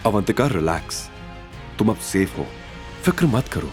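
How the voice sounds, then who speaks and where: Calm, reassuring young hero voiced by a man. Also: fairly low pitch, measured pace, soft background music. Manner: soothing, composed, gentle. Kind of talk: Hindi audio drama acting.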